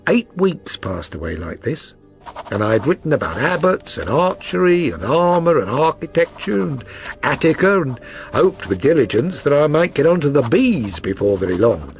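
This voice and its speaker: A man's voice: on the high side, big pitch swings, moderate pace, faint music behind. A man voicing an earnest, plodding middle-aged tradesman in an audiobook, telling his story in a deferential, rambling way. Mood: earnest, chatty. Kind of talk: audiobook character reading.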